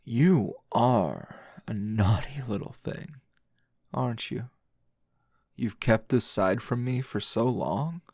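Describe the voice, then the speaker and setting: A man playing a weary, teasing male teacher hero in an intimate ASMR roleplay, with a low gravelly voice and lingering pauses. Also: lively intonation, a little mellow, no room sound, studio quality. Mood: teasing, seductive. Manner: slow, breathy murmuring with sighs and long pauses.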